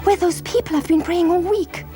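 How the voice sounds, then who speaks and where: A woman acts out an amazed bystander in a children's Bible story. The voice is high, breathy and full of awe, over loud music. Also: moderate pace. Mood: hushed wonder. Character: awestruck onlooker in a Bible story.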